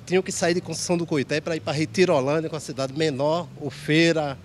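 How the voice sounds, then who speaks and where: A man's voice: fairly high pitch, steady tone, a little fast. A man with a Bahian Brazilian accent answering spontaneously in a street interview. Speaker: member of the public. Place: outdoor public square. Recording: outdoor TV recording with faint urban noise.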